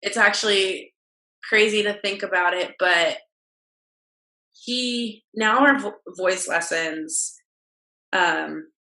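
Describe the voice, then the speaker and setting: A woman's voice: mid-range voice, slow pace, long pauses, sharp tone, echoey room, poor audio. A young woman, a dancer and fitness instructor, talking openly and reflectively as an interview guest, with lots of fillers. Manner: open, self-reflective, talks with many fillers. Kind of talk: candid conversational interview.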